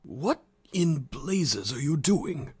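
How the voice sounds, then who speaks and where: A man's voice: a little high, very animated, slow pace, a little mellow, slightly rough, heavily acted. A man playing a stern, irritable older school headmaster in a game, curt and indignant. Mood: stern, dismissive. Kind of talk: dramatic game voice lines.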